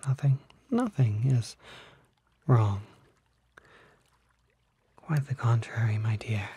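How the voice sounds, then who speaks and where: A man playing a smooth-talking, unsettling kelpie in an ASMR roleplay, breathy and half-whispered, with halting, coaxing, genteel speech. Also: mid-range voice, warm tone, exaggerated voice. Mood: startled, recovering. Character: unsettling smooth-talking male kelpie, charming, needy, secretly predatory.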